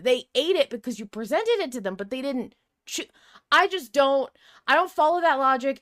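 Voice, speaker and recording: A woman podcast co-host in casual, unscripted debate, speaking in an animated, blunt and argumentative way. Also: high voice, no echo, studio quality.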